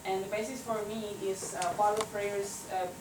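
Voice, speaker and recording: A woman's voice: mid-range voice, level tone, moderate pace. Woman giving a workshop talk, lots of ums, far from the mic, echoey room, noisy. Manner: hesitant, many fillers. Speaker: workshop presenter. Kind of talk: guided imagery then academic talk.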